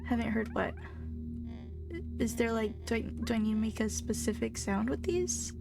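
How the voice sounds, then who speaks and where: Young woman, streamer, gravelly fry, slightly high voice, soft and monotone, game music behind. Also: moderate pace. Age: young adult. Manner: soft-spoken, chatty, calls her voice monotone. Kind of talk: casual live-stream chat while gaming.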